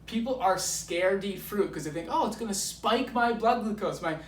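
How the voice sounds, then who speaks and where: A man's voice: sits high, animated, normal speed, distant mic. A man in his thirties explaining things as a guest coach in an enthusiastic, emphatic way, driving key points home. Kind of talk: enthusiastic explaining in a filmed conversation. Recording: roomy echo, off mic, background noise.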